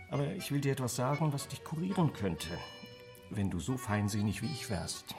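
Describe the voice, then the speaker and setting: A man's voice: medium pitch, natural voice. An actor playing a mocking, talkative man in a radio play, needling his friend with theatrical questions. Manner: sardonic, theatrical, rhetorical questioning. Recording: music playing behind the voice. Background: music.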